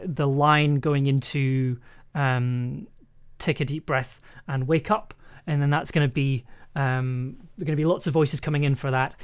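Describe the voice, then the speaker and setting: Streamer doing audio editing, thinking out loud, mid pitch, kinda hesitant and chatty. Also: smooth. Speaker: streamer and audio drama editor. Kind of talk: casual live-stream editing commentary.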